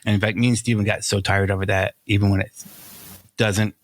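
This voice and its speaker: A man's voice: fairly low pitch, flat delivery, brisk. A man chatting casually and bluntly as a podcast co-host. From Rome, New York, United States. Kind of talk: casual conversational chat.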